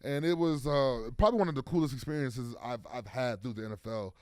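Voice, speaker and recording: A man's voice: mid-range voice, a little fast, clear, resonant tone, no echo. A man in his thirties, a football player guest, talking casually in an interview with lots of fillers and restarts. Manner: rambling, many fillers and restarts.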